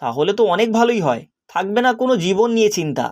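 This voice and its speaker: A man's voice: high-pitched, very animated, racing, a little mellow. A man voicing a naive, discontented young fisherman, earnest and plaintive, in a cartoon story. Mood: glum, wondering. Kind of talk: animated story character acting.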